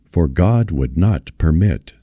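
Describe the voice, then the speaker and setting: A man reading literary fiction aloud as a narrator, in a measured, solemn manner. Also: deep voice, animated, warm tone, no room sound, studio quality. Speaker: reader of a novel.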